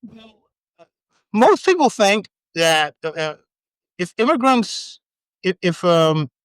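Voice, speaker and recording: A man born in Trinidad with an American accent, a podcast guest chatting casually in a halting, wry way full of restarts. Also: sits high, sing-song, smooth, no echo. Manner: halting, many restarts and fillers, wry humor. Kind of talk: casual chat.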